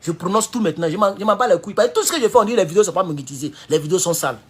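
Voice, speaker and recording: A man with an Ivorian French accent giving a heated political commentary, jumping from loud high outbursts to low mutters. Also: racing, breathy edge. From Ivory Coast. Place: at home in California.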